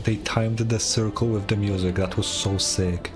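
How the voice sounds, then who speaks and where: A man's voice: lowish voice, steady tone, normal speed. A man giving casual reactions while playing through a game, with game music under his voice. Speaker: streamer. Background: video game music.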